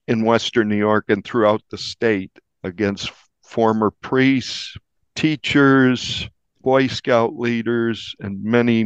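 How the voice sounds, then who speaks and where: A man speaking as an interviewed newspaper reporter, explaining a crime story in a measured, halting way with repeated phrases. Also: lowish voice, smooth, no echo, dull audio.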